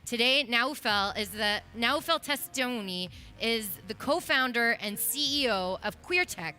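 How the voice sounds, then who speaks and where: A woman's voice: a little high, lively intonation, a little slow, sharp tone, soft background music. A woman hosting a conference stage, introducing a guest and interviewing him in an upbeat, enthusiastic way. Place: live event stage.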